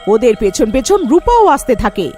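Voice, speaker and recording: High-pitched lively woman narrator. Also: moderate pace, faint music behind. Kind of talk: scripted story narration.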